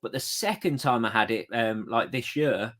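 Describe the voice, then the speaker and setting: A man's voice: medium pitch, moderate pace, a bit bright. A man hosting a podcast, chatting in a friendly, easygoing way. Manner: friendly, chatty, a few fillers. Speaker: podcast host. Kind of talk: casual chat.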